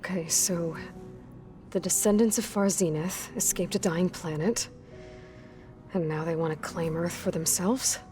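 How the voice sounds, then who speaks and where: Game lead: determined young female warrior, played by a woman, slightly low voice, plain-spoken, naturalistic, faint music. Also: measured pace, mellow, natural voice. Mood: probing, serious. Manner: direct, probing, plain-spoken. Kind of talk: naturalistic video game acting.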